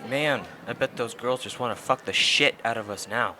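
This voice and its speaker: A man's voice: mid-range voice, normal speed, natural voice. A man voicing a cynical, crude teenage boy in a comic dub, dismissive and sarcastic. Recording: noisy crowd ambience behind voice.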